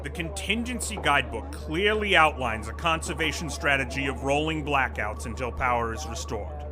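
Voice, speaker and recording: A man's voice: mid-range voice, animated, moderate pace, over music, lightly acted. A man playing an exasperated, pompous corporate vice president speaking formal management jargon with strained patience in a comedic audio drama. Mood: officious.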